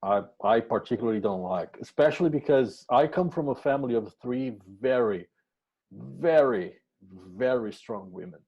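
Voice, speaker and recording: A man from Brazil hosting a casual podcast interview, halting and restarting his words. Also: medium pitch, lively intonation, warm tone, breathy edge, highs cut off.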